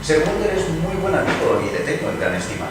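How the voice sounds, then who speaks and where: A man's voice: a little high, very animated, fast talker. A man playing a witty, opinionated poet in a dramatized reading, wry and theatrical. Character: witty, opinionated male English poet among literary friends. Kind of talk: dramatized reading of a literary debate. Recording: very echoey distant room, clear noise.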